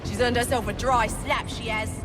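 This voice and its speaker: A woman playing a brash, indignant British gangster henchwoman in a video game, with a shrill delivery. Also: steady tone, moderate pace, music in the background, lightly acted. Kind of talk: video game character acting.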